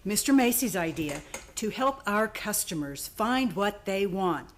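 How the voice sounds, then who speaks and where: A woman acting an excitable, dramatic store employee in a radio play, exclamatory and gushing. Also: mid-range voice, measured pace, slight background noise, close to an everyday voice. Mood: brisk, exasperated.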